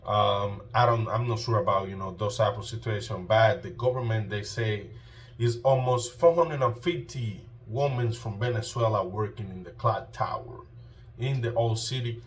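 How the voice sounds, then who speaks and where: A Colombian man with a lowish voice hosts a casual vlog, recorded a little away from the mic. His Spanish-accented speech is slangy and informal, circling back over phrases with lots of "you know". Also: normal speed, distant mic. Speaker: YouTube host.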